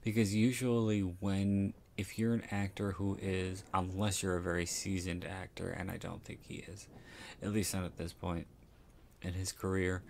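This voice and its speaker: A man from Philadelphia with a South Philly accent, giving a casual, rambling and halting film commentary full of candid asides. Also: low-pitched, darkish tone. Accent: South Philadelphia American. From Philadelphia, United States. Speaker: podcast host.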